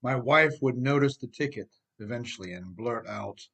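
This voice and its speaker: A middle-aged American man narrates a nonfiction audiobook as its author. His pitch sits in the middle range for a man. He reads from a script but talks straight to the listener, with emphasis and a conversational turn. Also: moderate pace, vocal fry, a bit bright. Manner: emphatic, addresses listener with rhetorical questions. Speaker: author reading own audiobook.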